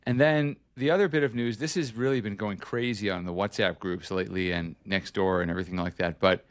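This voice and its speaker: A man's voice: fairly low pitch, fairly even intonation, fairly quick. A man hosting a podcast as a journalist, giving casual news updates in a chatty, self-correcting way.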